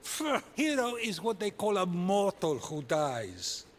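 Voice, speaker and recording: A man's voice: high-pitched, big pitch swings, slow, a little hiss or hum, heavily acted. An actor playing a boastful, wisecracking male god in a comedic video game, sarcastic and theatrical, with music behind him. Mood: mocking.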